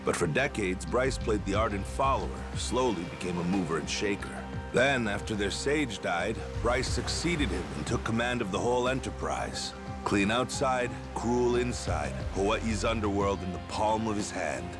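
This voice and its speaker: A man's voice: on the low side, lively intonation, moderate pace, few pauses, music in the background, close to an everyday voice. A man voicing a knowing, teasing treasure hunter who tells stories informally in a video game dub. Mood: storytelling. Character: knowing male treasure hunter sharing rumors, smooth-talking.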